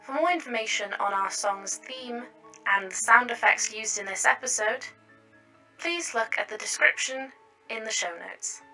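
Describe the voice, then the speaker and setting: A woman's voice: fairly high pitch, normal speed, pushed and bright, far from the mic, soft background music, low-quality recording. A woman reading scripted end credits for an audio drama podcast as its writer and producer.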